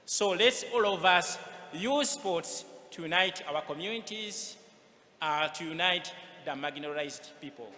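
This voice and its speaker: A man's voice: fairly high pitch, lively intonation, a little slow, pushed and bright. A man giving an earnest acceptance speech as an award winner. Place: large event hall, on stage. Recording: echoey hall, noticeable background noise.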